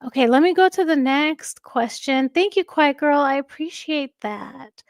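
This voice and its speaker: A woman hosting a livestream, chatting casually with a soft, calming voice and reading out viewer comments. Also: high voice, measured pace, no echo, studio quality. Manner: chatty, warm thanks to viewers, frequent fillers and light laughs. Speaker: livestream host. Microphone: home streaming microphone.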